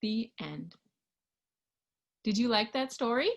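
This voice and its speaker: A woman reading a picture book aloud to young children, expressively, pausing to put questions to her listeners. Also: a little high, creaky. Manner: expressive, animated, with hushed asides and questions to listeners. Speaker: storybook reader.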